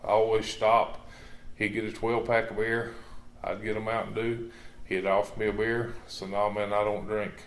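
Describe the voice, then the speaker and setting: A middle-aged man, from southwestern Virginia, giving a rambling personal testimony with dry humor in a church. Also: on the low side. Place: church sanctuary. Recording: echoey church room.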